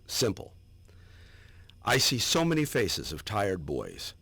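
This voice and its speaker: A man's voice: lowish voice, huge pitch swings, takes it slowly, vocal fry, sharp tone, husky, no room sound, heavily acted. A man giving a dramatic reading as a proud war veteran looking back on his service. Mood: somber. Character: proud, remembering male Vietnam war veteran. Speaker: reader.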